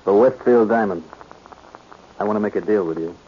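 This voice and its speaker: An actor playing a blunt, pushy buyer in 1940s radio style. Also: male voice, on the low side, brisk, natural voice. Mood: blunt, businesslike. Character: blunt, pushy male would-be diamond buyer. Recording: muffled, hissy old radio recording.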